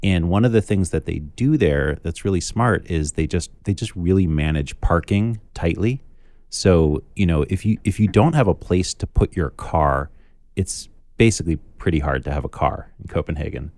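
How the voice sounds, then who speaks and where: A man co-hosting a podcast, chatting casually in a relaxed, friendly way. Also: low-pitched, normal speed, no room sound. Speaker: podcast co-host. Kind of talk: casual chat.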